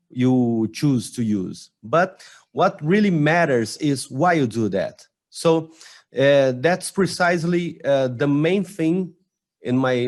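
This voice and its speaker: Slow, halting Brazilian-accented man. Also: mid-range voice, a little mellow. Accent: Brazilian Portuguese. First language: Portuguese. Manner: slow, halting, with frequent restarts and fillers. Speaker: conference speaker. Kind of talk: online conference presentation.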